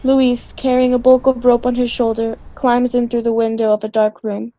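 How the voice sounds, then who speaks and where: Even, mellow woman reading stage directions. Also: on the high side, normal speed, highs cut off. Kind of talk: scripted narration of stage directions.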